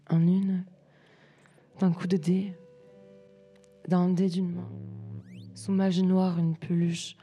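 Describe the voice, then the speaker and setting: A young woman reading poetry as spoken word in measured, short separated phrases. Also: fairly low pitch, dark tone, husky. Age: young adult. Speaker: student writer reading collective texts.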